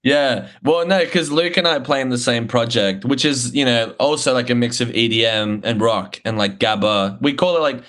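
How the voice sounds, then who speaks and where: A man's voice: medium pitch, fairly bright tone. Male podcast host from Argentina, mid voice, eager slangy interviewer. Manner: enthusiastic, slangy, quick agreement. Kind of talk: casual interview chat.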